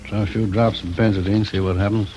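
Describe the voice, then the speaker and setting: A man portrays a calm crime-lab technician in a 1950s radio police drama. He is terse and clinical, with a fairly low voice. The old recording carries faint noise. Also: quick pace, close to an everyday voice.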